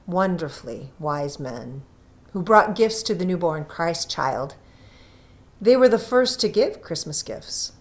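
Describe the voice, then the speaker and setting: Slow, very expressive woman storyteller. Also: lowish voice. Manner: deliberate, dramatic phrasing. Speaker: story reader.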